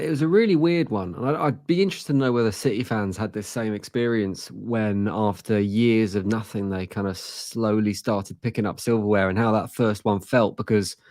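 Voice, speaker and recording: A man with a southern English accent, softened by living in the north, talks football as a guest. He is articulate and self-deprecating, with a slightly low voice. The recording is dry, with no room sound. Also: no room sound. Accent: southern English, softened by years in Manchester. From southern England. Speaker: guest, rival fan. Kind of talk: casual football chat.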